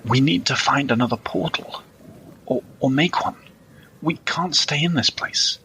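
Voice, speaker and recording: A man's voice: mid-range voice, measured pace, sharp tone, a little hiss or hum. A man playing a distrustful, reluctant traveller in a fantasy audio drama, urgent and protesting. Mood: wary, agitated. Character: distrustful reluctant male traveller stranded in another realm.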